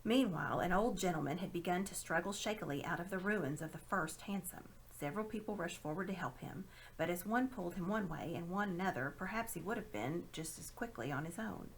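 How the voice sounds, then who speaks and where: A woman reading a children's story aloud with dramatic, expressive narration. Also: lowish voice, a little hiss or hum, low-quality recording. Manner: dramatic, animated. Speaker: storybook reader.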